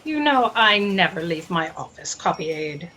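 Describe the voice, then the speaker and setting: A woman's voice: on the low side, big pitch swings, normal speed, bright, forceful tone, a little hiss or hum. A woman playing an imperious, exasperated boss of a cosmic office in a comedic audio drama, speaking in haughty declarations. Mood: scolding.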